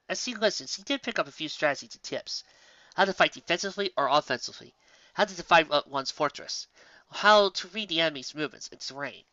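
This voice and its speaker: A woman's voice: deep voice, bright, forceful tone, no room sound, muffled recording. A woman reading a story aloud as narrator, expressively and from a script.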